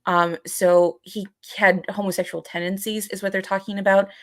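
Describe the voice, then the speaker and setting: A woman co-hosting a live podcast, talking casually and haltingly as she searches for words and hedges. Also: medium pitch, flat delivery, dry recording, studio quality. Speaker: podcast co-host.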